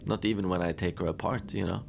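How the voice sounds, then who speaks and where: A man playing a chatty, wry manager of an Antarctic research facility in an audio drama, talking in a rambling, deadpan way with hesitant asides. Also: sits low, quick pace, creaky. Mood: bemused, chatty. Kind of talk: conversational monologue, log-style audio drama. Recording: noisy background ambience.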